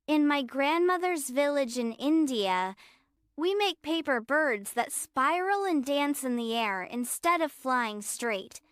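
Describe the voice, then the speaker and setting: A kind young girl from a children's storybook, voiced by a woman speaks gently. The voice is high and slow. The recording is dry. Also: expressive, no echo, heavily acted. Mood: gentle.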